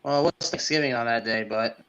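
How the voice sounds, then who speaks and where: A man's voice: medium pitch, brisk, fairly bright tone. A man co-hosting a sports podcast, giving casual opinions on football. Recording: dull, lo-fi microphone sound.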